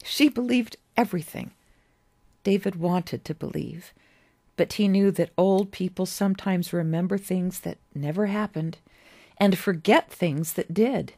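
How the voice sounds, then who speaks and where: A woman narrating a story as its author, in a measured, deliberate storytelling manner with pauses between phrases. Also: fairly low pitch, mellow, dry recording. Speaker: author reading own story.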